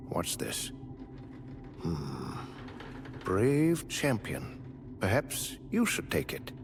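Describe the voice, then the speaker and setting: Theatrical, courteous fantasy gentleman, swooping intonation. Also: on the low side, full character voice. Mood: grateful, solemn. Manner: formal, flowery, archaic turns of phrase. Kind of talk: video game character dialogue.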